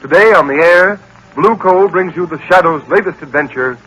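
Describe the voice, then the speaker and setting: High, bright, dramatic American radio announcer. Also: male voice, normal speed, breathy edge, poor audio. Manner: dramatic, emphatic delivery. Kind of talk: 1940s radio announcing, scripted narration.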